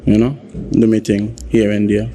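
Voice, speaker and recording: A man's voice: lowish voice, completely flat delivery, normal speed, dark tone, slight background noise. A man in his thirties with a Kittitian accent on a dating show, laid-back and a bit defensive. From Saint Kitts and Nevis. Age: 30s. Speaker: dating show contestant, musician. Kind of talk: unscripted dating show chat.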